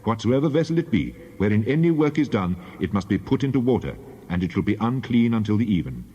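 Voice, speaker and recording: Deep reverberant man reading scripture solemnly. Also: very animated, mellow, slightly rough, muffled recording. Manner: deliberate, declamatory. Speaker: Bible reader. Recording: long reverberant hall echo.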